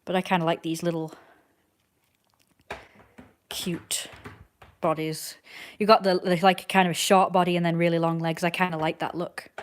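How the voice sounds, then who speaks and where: A woman in her twenties chats on a live stream in a low-pitched voice. She rambles from one thought to the next and answers viewers' comments out loud. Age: 20s.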